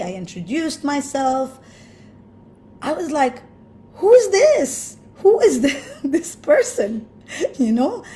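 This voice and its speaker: A woman from Lebanon tells a personal story slowly and thoughtfully, often stopping on fillers. Her voice is warm and mellow, at a middle pitch, with a faint hum of ambient noise behind her. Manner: thoughtful, with frequent pauses and fillers. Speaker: speaker sharing personal story.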